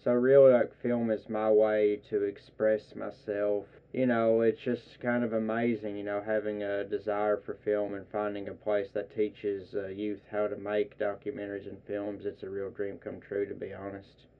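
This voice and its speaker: Halting young man, flat low voice. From eastern Kentucky. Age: 20s. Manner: halting, many uh fillers, earnest. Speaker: student filmmaker guest. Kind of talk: radio interview. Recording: narrow phone-like sound.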